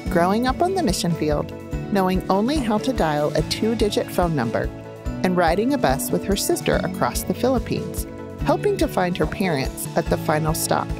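Woman narrator, lowish lilting voice, scripted intro, clear music bed. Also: sing-song, normal speed.

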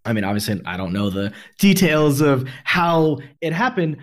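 A man with a slightly high voice hosts a podcast conversation. He talks in an explanatory way, laying out his thoughts at length. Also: sing-song, moderate pace, a little hiss or hum. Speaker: podcast host.

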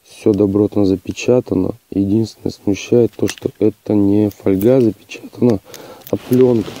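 A man with a hoarse voice from a cold, testing gear outdoors in a plain, matter-of-fact way with hesitant fillers. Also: on the low side, fairly even intonation, a little slow, mellow, rough recording. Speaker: outdoor channel host. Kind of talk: casual outdoor gear test. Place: outdoors on a rocky hillside.

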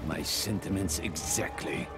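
A man voicing a cold, grieving scientist villain in a video game, speaking formally with measured, clipped menace. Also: a bass voice, lively intonation. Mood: bitter resolve. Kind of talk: video game dramatic acting. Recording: loud music under the dialogue.

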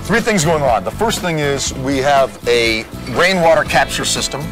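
An enthusiastic man walking a visitor through his backyard projects, explaining in a casual, playful way. His voice is mid-pitched and very lively, with music playing behind him. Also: normal speed, poor audio. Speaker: homeowner. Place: outdoor backyard.

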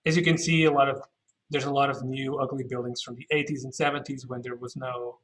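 A man's voice: mid-range voice, level tone, fairly quick, fairly bright tone, low-quality recording. A man guiding an informal virtual walking tour, thinking aloud and often correcting himself as he explains. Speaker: architectural tour guide.

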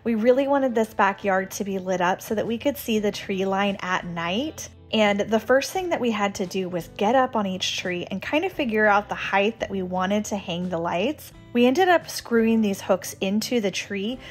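Enthusiastic woman vlogger in her thirties, casual chatty narration, soft music bed. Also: mid-range voice, expressive, normal speed, few pauses. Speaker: home renovation vlogger.

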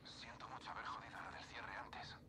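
An actor with an accent from Spain playing a blunt, weary space station colleague heard through a radio in a video game. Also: male voice, fairly low pitch, fairly even intonation, fairly quick, whispered. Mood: apologetic. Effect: radio filter. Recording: noisy radio link in game.

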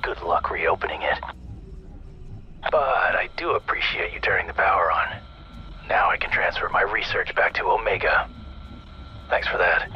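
An actor playing a cruel, smug scientist villain in a video game, speaking with sardonic mock politeness. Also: male voice, sits low, lively intonation, moderate pace, long pauses, breathy edge, exaggerated voice. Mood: smug, gloating. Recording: muffled radio sound, faint noise.

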